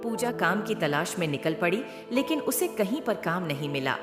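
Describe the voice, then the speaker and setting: A woman's voice: on the low side, very animated, moderate pace. A woman narrating a devotional moral story from a script. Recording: echoey, long reverb, music bed. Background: clear music.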